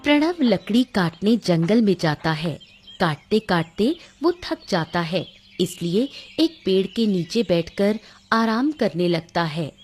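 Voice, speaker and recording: A woman's voice: medium pitch, expressive, takes it slowly, a little mellow. A woman narrator reading a scripted cartoon story. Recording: music under the voice.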